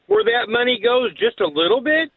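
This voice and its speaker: A man's voice: very high voice, very animated, normal speed, dry recording. A man from Kentucky, calling in to a talk show with a polite, tentative question. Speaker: caller. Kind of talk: call-in question. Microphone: telephone. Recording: tinny phone line.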